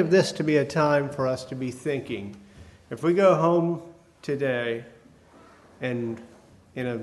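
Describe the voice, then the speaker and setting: A man delivers a church sermon in a folksy, unhurried manner, pausing often with fillers. His voice is hoarse from a cold, a little crackly at times. His pitch sits in the middle for a man. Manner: folksy, hesitant with many fillers. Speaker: preacher.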